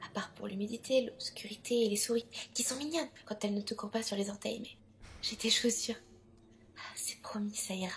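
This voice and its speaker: A woman playing a young princess, an earnest and expressive younger sister, in a French fandub of a fantasy drama. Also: medium pitch, fairly quick, natural voice. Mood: hushed, reassuring. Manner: emotional, expressive. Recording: music under the voice.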